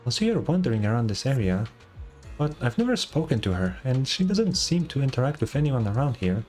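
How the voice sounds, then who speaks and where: A man voicing a helpful female fast-food stall worker in a video game. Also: medium pitch, big pitch swings, darkish tone, soft background music, natural voice. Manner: polite, customer-service helpful. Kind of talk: reading game dialogue aloud.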